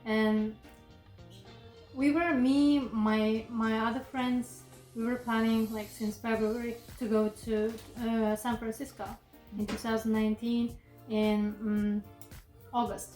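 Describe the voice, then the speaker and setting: A woman in her late twenties from Kazakhstan, who is not a native English speaker, chatting casually in an interview with relaxed fillers and restarts. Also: a little high, takes it slowly, roomy sound, faint music behind, rough recording. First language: Kazakh or Russian. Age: 20s. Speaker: interview guest.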